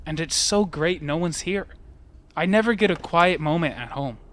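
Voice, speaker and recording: A man's voice: on the high side, moderate pace, a bit bright, a little hiss or hum, close to an everyday voice. An actor playing a troubled young man, guarded, wry and sad, in an audio play. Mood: casual.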